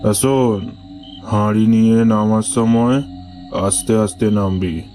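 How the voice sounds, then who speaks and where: Low voiced village toddy guy man acting, bossy and jokey. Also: steady tone, a little slow, warm tone, soft background music, natural voice, low-quality recording.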